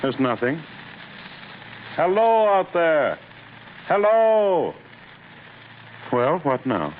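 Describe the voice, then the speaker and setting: A slow talking cold scientist husband, scornful, big swings in pitch, old radio horror. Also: male voice, sing-song, exaggerated voice. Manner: contemptuous, clipped, domineering. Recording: noisy, tinny old radio recording.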